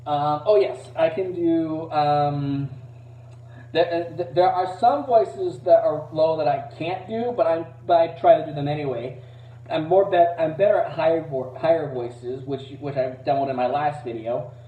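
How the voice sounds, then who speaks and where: Man, higher-pitched voice, hesitant, rambling, self-deprecating, casual amateur demo, dull recording. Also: normal speed. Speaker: amateur voice impressionist. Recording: roomy, distant, dull low-fidelity mic.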